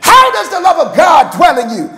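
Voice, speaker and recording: An older man with a gravelly voice, preaching an impassioned, emphatic sermon and calling on his congregation. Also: very high-pitched, huge pitch swings, moderate pace, bright, forceful tone, shouting, some chatter behind. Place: large church sanctuary, at a pulpit. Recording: church hall with long reverb.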